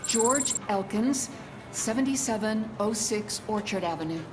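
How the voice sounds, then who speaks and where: A woman's voice: medium pitch, animated, unhurried, over music, close to an everyday voice. An actress playing an anxious middle-aged mother in a video game, pleading and distressed. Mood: worried, pleading.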